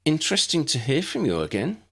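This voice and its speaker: A man voicing a dignified, welcoming older druid woman in a fantasy story, warm and faintly formal. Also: a little high, wildly swooping pitch, fairly quick, pitched above the performer's own voice, full character voice.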